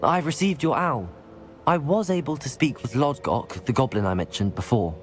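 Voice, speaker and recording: A man's voice: medium pitch, expressive, moderate pace, lightly acted. An actor playing an earnest, polite young male wizard student in a video game cutscene. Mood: reporting, thoughtful. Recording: music under the voice. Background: music.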